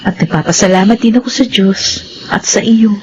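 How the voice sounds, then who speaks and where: An actress playing a polite, soft-spoken young woman in a radio drama. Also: medium pitch, a little fast, a little hiss or hum, close to an everyday voice. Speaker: narrator and main character. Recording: dull lo-fi radio sound.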